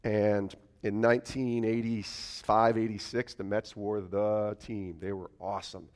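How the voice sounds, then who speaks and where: A man in his forties, originally from upstate New York, preaching a church sermon in a raspy, cold-roughened voice and joking with the congregation. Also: level tone, measured pace, darkish tone. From Albany, New York. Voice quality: raspy, deeper than usual. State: hoarse from a cold. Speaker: guest preacher. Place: church sanctuary.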